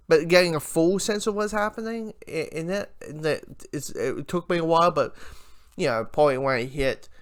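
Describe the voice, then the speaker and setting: A man giving a casual, unscripted fan review, rambling and halting with repeated words and false starts. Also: fairly high pitch, a bit bright, clear, resonant tone.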